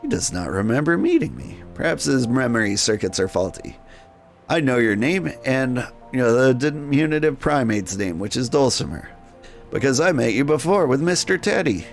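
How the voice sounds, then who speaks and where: A man's voice: medium pitch, sing-song, moderate pace, gravelly, soft background music. Man voicing a polite, formal, naive robot. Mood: earnest. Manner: formal, precise wording. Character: polite, naive, literal-minded robot seeking work. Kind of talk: character voice in a game playthrough.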